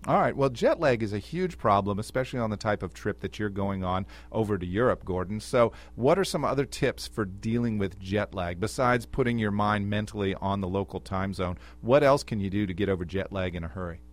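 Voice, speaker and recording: A man's voice: on the low side, fairly quick, hardly any pauses. A man hosting a radio talk show, asking direct questions in an upbeat way and introducing his guest.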